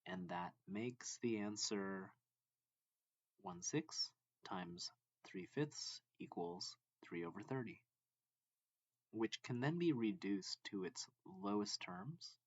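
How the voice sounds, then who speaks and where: A man with a low voice reads a textbook lesson aloud. He goes very slowly and deliberately, setting out each short phrase on its own. The recording is a little dull. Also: sing-song, dry recording. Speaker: math reading tutor.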